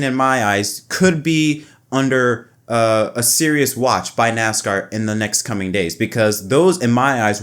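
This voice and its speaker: A man hosting a motorsport video, giving opinionated race analysis in an emphatic way that often repeats phrases. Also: medium pitch. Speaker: motorsport commentary video host.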